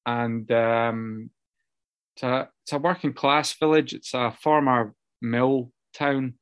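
Slow, halting Scottish man. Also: medium pitch, fairly even intonation, clear, resonant tone, no room sound, clean studio sound. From Scotland. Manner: halting, many ums and restarts, candid and swearing. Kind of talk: personal recovery story, casual monologue.

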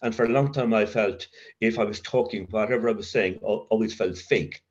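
An Irish man sharing his recovery story, halting, with stammer blocks and many restarts. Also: lowish voice, a little mellow, muffled recording. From Ireland. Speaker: speaker at a recovery meeting. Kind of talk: personal recovery share.

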